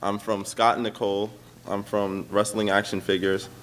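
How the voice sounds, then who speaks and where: A young man from Queens, a student haltingly reciting a personal spoken word poem. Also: on the low side, level tone, a bit bright. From Queens, New York. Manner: halting, breaking into laughter. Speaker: student performer. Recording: noisy room with audible crowd.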